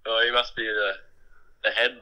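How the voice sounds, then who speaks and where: A man's voice: on the low side, level tone, moderate pace, pushed and bright. An Australian man in a casual phone conversation, laid-back and sceptical in manner. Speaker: prank call recipient. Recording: voice over a phone call.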